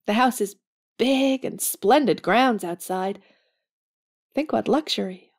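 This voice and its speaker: An actress playing a warm, plucky, idealistic young woman in an audio drama, earnest and plain-spoken. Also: a little high, takes it slowly. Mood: excited, determined.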